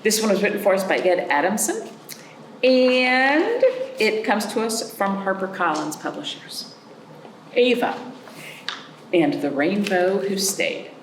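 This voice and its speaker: Female picture-book reader for young kids. Slow, expressive, dramatic pauses. Echoey room, faint noise. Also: mid-range voice. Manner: animated, measured storyteller delivery with dramatic pauses and stretched words. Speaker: librarian hosting a children's storytime. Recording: roomy echo, faint background noise.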